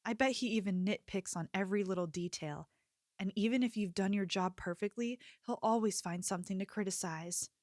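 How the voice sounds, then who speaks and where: A woman playing a sweet, attentive young convenience store clerk in an ASMR roleplay, speaking softly with a gentle, hesitant manner. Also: mid-range voice, dry recording, clean studio sound, natural voice. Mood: curious, friendly. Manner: gentle, apologetic, hesitant, solicitous.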